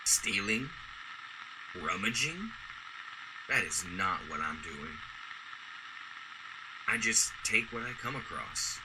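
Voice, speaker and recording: An actor playing a gentle, earnest, plain-spoken working man in a radio drama. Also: on the low side, lively intonation, slow pace, pauses often, noisy background. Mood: indignant. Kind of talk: golden-age radio drama acting.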